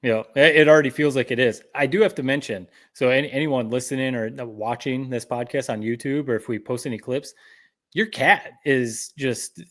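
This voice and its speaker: A man's voice: medium pitch, normal speed, fairly bright tone. A man hosting a casual sports podcast, easygoing and prone to restarts and chuckles. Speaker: podcast host. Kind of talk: casual chat.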